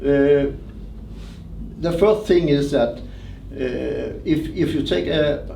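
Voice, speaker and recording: Halting, gravelly man in a roomy space. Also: on the high side, dark tone. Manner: halting, hesitant phrasing in non-native English. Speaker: loudspeaker designer being interviewed. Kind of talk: unscripted technical interview answers. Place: indoor demonstration room at a hi-fi show. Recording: roomy, distant mic, noisy show room.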